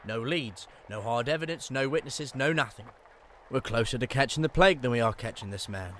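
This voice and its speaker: A man's voice: mid-range voice, fairly quick, fairly bright tone, slight background noise, lightly acted. A man playing an exasperated, authoritative Victorian chief inspector in a radio drama, grim and commanding, with a British accent.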